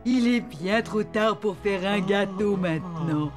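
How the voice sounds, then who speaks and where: A woman playing a gentle storyteller, narrating an animal tale in a French children's cartoon. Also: mid-range voice, slow pace, over music, lightly acted.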